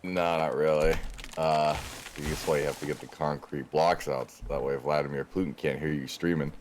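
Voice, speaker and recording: A man's voice: a bass voice, steady tone, normal speed. A man joking around in casual voice chat, sometimes drawling out his phrases. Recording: clear background noise, clicks.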